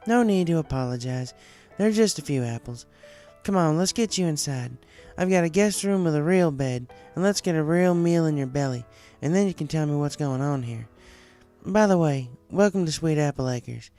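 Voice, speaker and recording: A folksy young farm mare from a cartoon story voiced by a man, pitched well up. The pony is hospitable and plain-spoken, talking in a friendly, welcoming way over background music. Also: expressive, normal speed, dark tone.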